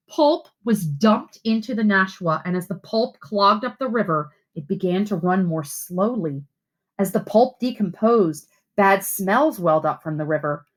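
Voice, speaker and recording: A woman reading aloud as a teacher to schoolchildren, with expressive, deliberate phrasing. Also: fairly high pitch. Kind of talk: read-aloud storytelling and simple instructions for children.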